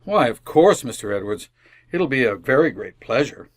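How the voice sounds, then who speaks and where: A man's voice: medium pitch, sing-song, moderate pace. An amateur actor playing a flustered, earnest family man in an old-time radio sitcom, hesitant and prone to speechifying. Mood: eager, flustered. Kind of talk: re-created 1950s radio sitcom acting.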